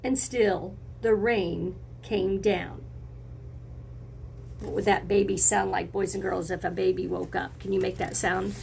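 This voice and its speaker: A woman's voice: mid-range voice, fairly even intonation, unhurried, vocal fry, a little mellow, slight background noise. A woman teacher reading a picture book to young children, speaking expressively in simple phrases and putting encouraging questions to her listeners. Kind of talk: read-aloud lesson for children, with questions to listeners.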